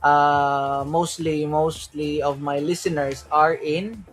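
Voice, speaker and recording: A man's voice: fairly high pitch, fairly even intonation, slow pace, soft background music. A man from the Philippines with a Filipino accent, hosting a solo crypto livestream in a loose way, switching into Tagalog now and then and asking "right?" after many of his points. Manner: loose, repeats "right?" often, mixes in Tagalog.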